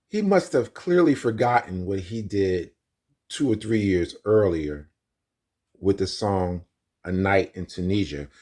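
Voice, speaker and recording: A man's voice: fairly low pitch, darkish tone. A man delivers video commentary in an insistent, explanatory way, talking straight to his viewers. The sound is roomy and echoey, and he sits some way from the mic. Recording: roomy echo, distant from mic.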